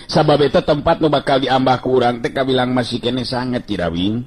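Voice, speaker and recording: A man voicing a calm, knowing guide in a radio story, explaining things seriously and cautiously in a measured way. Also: mid-range voice, slight background noise, pitched above the performer's own voice, natural voice. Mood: cautionary. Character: calm, knowledgeable male guide, earnest and cautionary.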